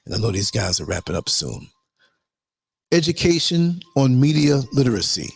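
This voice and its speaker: A hoarse man hosting a livestream, reading a list of tips and then rambling casually to his viewers. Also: medium pitch, very animated, normal speed, no room sound. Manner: rambling, chatty, addresses viewers as y'all. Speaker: livestream host.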